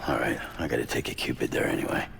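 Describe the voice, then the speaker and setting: A gruff game courier man, super deep gravelly voice, few words, dry n skeptical. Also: steady tone, fast, fairly bright tone, slight background noise, exaggerated voice. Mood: terse, dry. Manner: laconic, dry. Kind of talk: video game cutscene dialogue.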